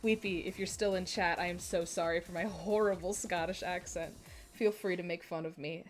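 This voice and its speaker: A young woman with a relaxed Midwestern American accent, chatting to her stream as she plays. She rambles, laughs often and pokes fun at herself. Faint music runs behind her voice. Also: fairly low pitch, normal speed. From Minnesota, USA. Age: 20s. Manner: chatty, giggly, self-deprecating. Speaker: game streamer. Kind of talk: casual live-stream chat while playing.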